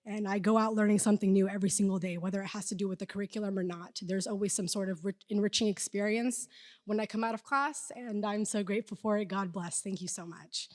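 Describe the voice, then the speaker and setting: A woman's voice: mid-range voice, fairly even intonation, quick pace, a little mellow. A young woman giving an earnest, emphatic prepared speech as a student speaker at a university event. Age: young adult. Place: large indoor hall, speaking to an audience. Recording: echoey hall with long reverb.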